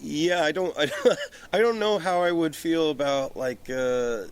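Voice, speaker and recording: A man's voice: on the high side, a little slow, clear, resonant tone. A man talking candidly and a little ramblingly as a podcast guest on a porch, with casual swearing. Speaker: guest, independent filmmaker and business owner. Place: outdoors on a porch. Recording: background ambience outdoors on a porch.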